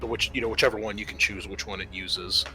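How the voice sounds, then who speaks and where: A man's voice: fairly low pitch, fairly even intonation, fast talker, sharp tone, rough recording. A man acting as game master, methodically explaining tabletop game rules to his players. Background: music.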